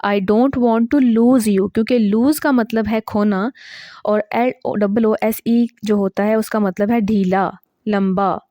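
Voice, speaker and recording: Slow, soft-toned woman teaching grammar. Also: medium pitch, dry recording, clean studio sound. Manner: slow, drawn-out model sentences; checks understanding with quick asides. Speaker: English teacher. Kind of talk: grammar lesson explaining common mistakes.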